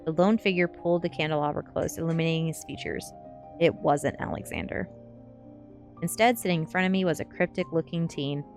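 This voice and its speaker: A woman with a low voice reads a novel aloud in an ASMR style. It is first-person story narration, set over faint background music. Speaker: streamer reading a novel aloud.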